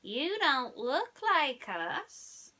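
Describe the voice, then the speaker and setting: Lady doing a little green toy alien for storytime, high voice, super slow n solemn, awed by everything. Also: very animated, bright, forceful tone, full character voice. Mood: puzzled, suspicious. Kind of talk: bedtime story character voice.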